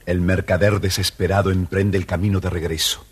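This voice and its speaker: Low-voiced man narrating a storybook. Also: a little fast, over loud music. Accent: Argentine Spanish. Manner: calm, even storytelling.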